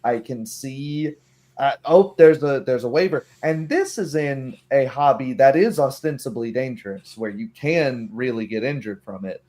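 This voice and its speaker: A man in a casual, opinionated discussion, arguing haltingly through hypothetical examples and imagined dialogue. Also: medium pitch, animated, darkish tone.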